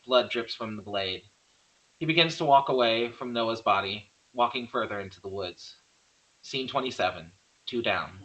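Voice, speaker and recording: Bright-voiced man reading stage directions. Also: lowish voice, moderate pace, dull audio. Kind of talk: scripted narration at a table read.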